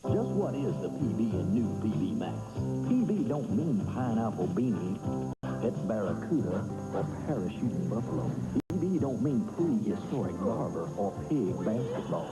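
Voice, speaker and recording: A man's voice: on the low side, low-quality recording. A man reading a playful candy commercial voice-over with punchy, zany delivery. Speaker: commercial announcer. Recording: voice-over with loud music bed.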